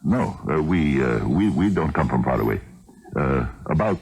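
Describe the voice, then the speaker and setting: A man's voice: rumbling low, lively intonation, moderate pace, a little mellow, slightly rough, heavily acted. A man acting in a 1970s radio drama as a shifty, nervous traveler who stammers and bluffs with false casualness. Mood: nervous bluffing. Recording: noisy 1979 radio broadcast recording.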